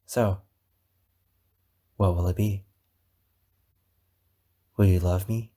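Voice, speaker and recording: A man playing an obsessive, wounded, possessive captor in an ASMR roleplay, speaking deliberately with dramatic pauses. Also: sits low, fairly even intonation, warm tone, full character voice. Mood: quiet, menacing. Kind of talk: ASMR roleplay monologue addressed to the listener.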